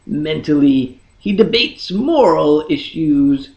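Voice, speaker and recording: A storyteller reading a fantasy tale in a dramatic, deliberate manner with lingering pauses. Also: medium pitch, animated, a little mellow, muffled recording. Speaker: audiobook reader. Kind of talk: dramatic scripted fantasy narration.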